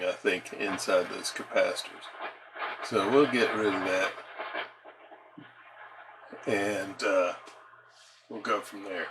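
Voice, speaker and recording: A man giving a casual, unscripted repair walkthrough as a hobbyist host, in a halting, hesitant way with pauses. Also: fairly low pitch, bright, forceful tone, a little hiss or hum, rough recording. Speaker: radio repair hobbyist hosting a how-to video.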